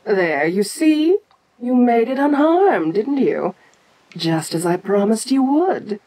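A woman playing an ancient, domineering giantess kaiju queen in an audio roleplay, imperious and teasing yet protective, speaking with deliberate pauses. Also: on the high side, very animated, mellow, exaggerated voice, low-quality recording. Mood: reassuring, amused. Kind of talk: second-person audio roleplay acting.

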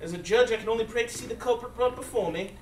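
A man playing a polite, long-suffering judge in a live radio drama, with a formal, expressive delivery. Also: extremely high pitch, fast. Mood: amazed. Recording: distant echoey live stage, noisy.

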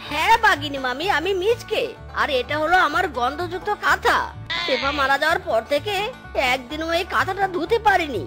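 A performer voicing a sly, shameless widower fox in a cartoon, high-pitched, whiny and melodramatic, full of wheedling exclamations. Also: animated, a little fast, pushed and bright, soft background music. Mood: cheeky. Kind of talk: cartoon voice acting.

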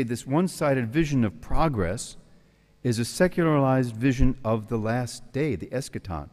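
An American priest in his sixties from New York City, giving an animated retreat talk at a lectern in a large hall. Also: mid-range voice. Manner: animated, gestures with both hands, tells jokes. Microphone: stand mic at the lectern. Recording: long hall reverb.

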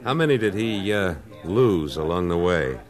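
Tough Western cattleman, very low voice, American accent, terse and cool, old radio drama, faint music. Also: expressive, normal speed. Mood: cool, confident. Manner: terse, cool, self-assured. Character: tough, laconic cattleman gunslinger, a former cavalryman. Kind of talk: old-time radio western acting and narration.

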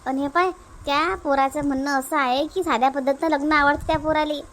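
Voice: high voice, steady tone, brisk, a bit bright, slight background noise. A performer voicing a fussy, talkative, practical village mother in a Marathi family cartoon, with a Varhadi accent and a homely, rambling manner. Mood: explaining, insistent. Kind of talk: comic family cartoon dubbing.

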